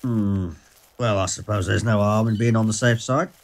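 A man's voice: on the low side, steady tone, normal speed, lightly acted. A man playing a stern, dismissive senior police officer in an audio drama, with a curt, authoritative manner.

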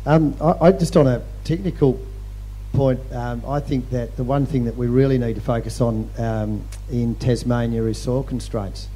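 A man on a conference panel, speaking in measured phrases that trail off quietly. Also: medium pitch, steady tone, slightly rough, faint music behind, poor audio. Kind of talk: panel discussion. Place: large hall stage.

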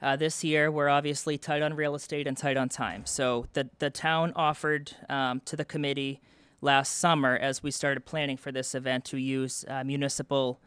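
A man's voice: medium pitch, level tone, moderate pace, hardly any pauses, fairly bright tone, clean tone, no echo. A young man presenting to a town board at a public meeting, earnest and explanatory, with many fillers and restarts. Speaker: event committee representative. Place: town hall meeting room.

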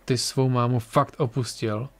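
A man's voice: medium pitch, little pitch movement, fairly bright tone, lightly acted. A man voicing a curt, prickly Tora. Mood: indignant. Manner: curt, irritable. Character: young woman fighter Tora, blunt and prickly.

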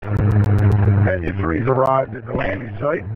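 A man's voice: on the low side, takes it slowly, husky, no room sound. A man working as a police officer, calling in over a police radio. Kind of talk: radio call-in. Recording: tinny, narrow police scanner radio.

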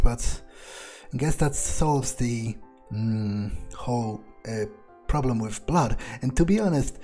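Wry, swearing gamer man, mellow tone. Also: medium pitch. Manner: casual, wry, swears often, many fillers. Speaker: gamer roleplaying his character. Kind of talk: in-character game commentary.

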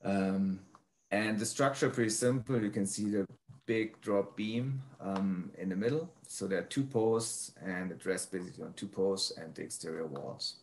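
Low-pitched man giving a halting presentation. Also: darkish tone. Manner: halting, hesitant delivery with frequent ums. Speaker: presenter, prefab builder. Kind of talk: informal slide presentation.

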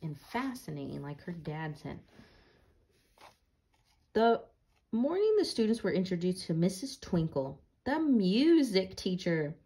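A woman's voice: lowish voice, big pitch swings, unhurried, long pauses, warm tone, slight background noise. A woman reading a storybook aloud and casually explaining it in simple words for children.

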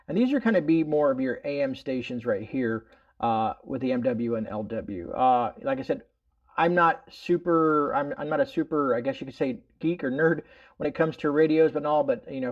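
A man's voice: mid-range voice, darkish tone, lo-fi sound. A middle-aged man hosting a casual product demonstration indoors, explaining in an informal, rambling way full of verbal tags. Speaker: host of a prepping product review channel. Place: indoors in a room at home.

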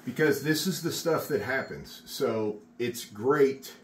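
A man's voice: medium pitch, slow. A middle-aged man walks through a project step by step, chatty and encouraging as he works. He is recorded on a phone in an unfinished garage with plenty of room echo and a faint hiss behind him. His voice sits in a plain middle range. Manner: chatty and encouraging, talks while working. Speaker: contractor teaching epoxy work. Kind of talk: casual how-to demonstration.

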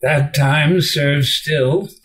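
An actor playing an easy-going, urbane Athenian lord in a Shakespeare play reading. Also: male voice, a little high, drawn-out words, a bit bright. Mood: amused, admiring.

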